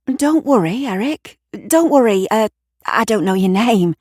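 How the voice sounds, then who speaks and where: Woman acting a bossy, caring neighbour woman, raised pitch. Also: expressive, a little mellow, dry recording, studio quality. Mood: worried, soothing. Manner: brisk, insistent, reassuring. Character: Shirley, bossy, caring, nosy female neighbour.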